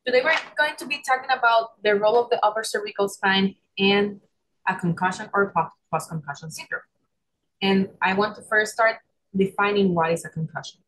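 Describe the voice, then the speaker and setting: A young woman with a Puerto Rican accent, her voice a little husky, gives a lecture over a video call. She explains step by step, often pausing with ums and restarting her sentences. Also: mid-range voice, rough recording. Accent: Puerto Rican Spanish. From Puerto Rico. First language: Spanish. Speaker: chiropractor presenter. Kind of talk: online presentation lecture.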